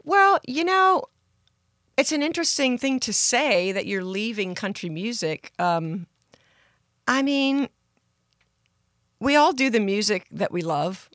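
A woman from Canada, a country singer guest, with a deep voice, talking earnestly in a casual interview. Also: very animated, slow pace, pauses often, fairly bright tone, dry recording, studio quality.

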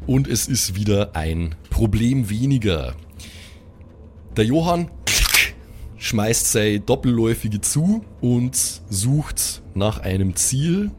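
A man's voice: fairly low pitch, big pitch swings, takes it slowly, noisy background. A man with a Bavarian accent improvising colloquial, vivid narration as the game master of a tabletop game.

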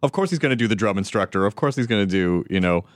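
A man's voice: lowish voice, lively intonation, fast talker. A man from Tennessee hosting a casual chat, bantering and asking questions informally. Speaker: talk show host.

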